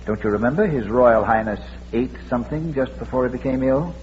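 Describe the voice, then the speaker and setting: A muffled, lightly noisy vintage radio recording of a man acting as an unflappable gentleman detective. He speaks at middling pitch in a measured, dryly witty manner, like a philosopher solving crimes. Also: natural voice. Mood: deducing, composed. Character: bearded, urbane, philosophical amateur sleuth, unflappable gentleman. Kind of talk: 1940s radio mystery acting.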